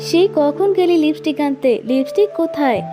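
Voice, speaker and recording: A woman's voice: high-pitched, a little fast, over loud music, lightly acted. A woman voicing a bossy, exasperated mother fox in a children's cartoon. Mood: scolding.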